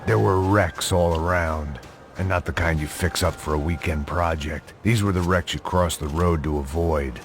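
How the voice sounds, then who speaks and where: A man playing a bitter, hard-drinking middle-aged American ex-cop, delivering deadpan noir voice-over for a game. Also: deep voice, normal speed, background noise. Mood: bitter, wry. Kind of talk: noir scripted voice-over narration. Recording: music behind the voice.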